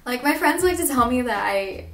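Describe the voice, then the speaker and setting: A woman's voice: high-pitched, expressive, moderate pace, distant mic. Chatty young woman, echoey bare room. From Philippines. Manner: chatty, candid, swears casually, frequent "like" and laughs. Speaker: vlogger. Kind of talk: casual confessional vlog. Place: small bare room, indoors. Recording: echoey room, mic some way off.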